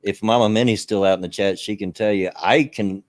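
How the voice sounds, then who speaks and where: A middle-aged man with a Southern US accent hosting a casual livestream chat from his bedroom, loud and carrying, laughing often. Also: lowish voice, steady tone, a little fast, no echo. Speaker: host. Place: bedroom at home.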